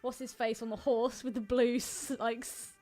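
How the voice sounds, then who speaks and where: Chatty girl cohost, kinda high voice, casual film talk with music under. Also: little pitch movement, normal speed, mellow. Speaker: podcast co-host. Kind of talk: casual film podcast chat.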